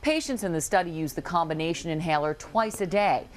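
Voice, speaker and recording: A woman's voice: fairly low pitch, lively intonation, brisk. A woman reading scripted TV news, with control-room noise in the recording. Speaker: TV news anchor.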